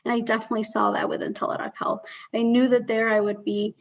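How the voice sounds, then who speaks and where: A woman in her thirties speaking as a panelist on a video call, earnest and measured, talking through her career in a conversational way. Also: fairly high pitch, little pitch movement, gravelly.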